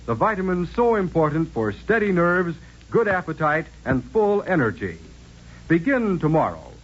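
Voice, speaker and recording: Casting: male American 1940s radio narrator, fairly high and very expressive, scripted delivery, dull lo-fi broadcast sound. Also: husky. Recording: muffled old-time radio recording.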